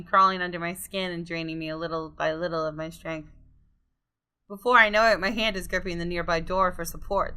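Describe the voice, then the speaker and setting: Woman, somewhat low pitch, bright tone, dramatic narration reading, casual asides, gameplay video, soft music. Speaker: gaming video creator.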